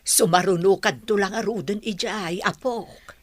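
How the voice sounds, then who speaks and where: A woman's voice: mid-range voice, normal speed, no room sound, lightly acted. A woman with an Ilocano accent playing a concerned grandmother in a radio drama. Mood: concerned, gentle. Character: grandmother consoling her troubled daughter and grandchild.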